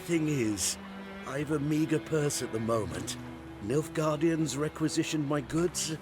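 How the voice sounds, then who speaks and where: Guy doing a shaken humble trader from a fantasy game, kinda high voice, hesitant, music behind him. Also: unhurried, natural voice. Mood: shaken, wary. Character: shaken, humble male village merchant. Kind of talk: video game voice acting.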